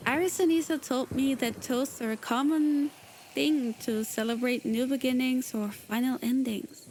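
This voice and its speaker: A woman voicing a composed, gracious young guild leader who talks in a formal, measured, slightly aloof way in a roleplay voice pack. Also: high voice, fairly even intonation, darkish tone, a little hiss or hum, lightly acted.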